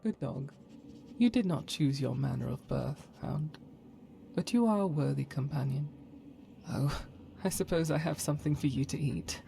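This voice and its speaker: A woman's voice: low voice, moderate pace, gravelly. A woman voicing a stern, devout holy warrior in a fantasy game, with a solemn, formal and righteous manner. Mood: gently approving. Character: stern, devout female holy warrior, dryly wry companion. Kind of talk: fantasy video game voice acting. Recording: loud music behind the voice. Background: loud game music.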